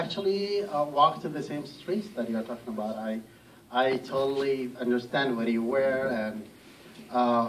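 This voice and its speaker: Hesitant man from Iraq, off-mic. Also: mid-range voice. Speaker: audience member asking a question. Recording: distant mic with faint noise.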